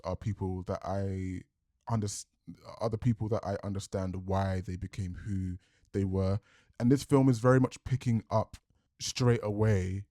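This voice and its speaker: A man giving a reflective film review, thinking aloud, restarting sentences and swinging between lively and quiet. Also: medium pitch, no room sound, clean studio sound. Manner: thoughtful, analytical, restarts often.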